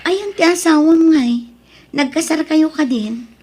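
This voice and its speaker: A woman's voice: high voice, a little slow, darkish tone. A woman playing a friendly, curious married mother in an Ilocano-accented audio drama.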